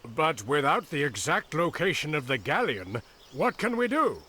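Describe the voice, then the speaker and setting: A man playing a cautious, wise old grandfather in a video game, speaking in a measured and expressive way. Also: fairly high pitch. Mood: concerned. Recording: insects and ambient noise behind.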